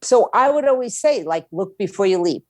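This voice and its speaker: A woman speaks as an interview guest in a conversational, emphatic way. She drives points home with repetition and rhetorical questions. The sound is dry and close, with a mid-range pitch. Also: animated, normal speed, a little mellow, no echo. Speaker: interview guest, music attorney and label owner.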